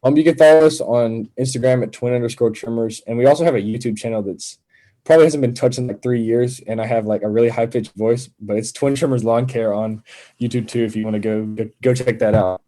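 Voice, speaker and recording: Young man with a dark, mellow voice. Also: mid-range voice, fairly even intonation, fairly quick, low-quality recording. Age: young adult. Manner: informal, earnest. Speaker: podcast guest, landscaping business owner. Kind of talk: casual chat.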